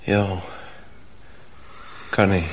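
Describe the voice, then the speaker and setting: An actor playing a badly beaten, wry truck driver, weak and in pain, in a radio crime drama. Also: male voice, sits low, little pitch movement, slight background noise. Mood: weary. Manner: slow, sardonic.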